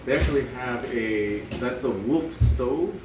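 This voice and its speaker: A man with a mid-range voice, eagerly pointing things out and asking questions in casual conversation. He sounds distant, with a roomy echo and steady background noise. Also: medium pitch, a little slow. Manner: enthusiastic, explains things, asks many questions. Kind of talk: casual chat.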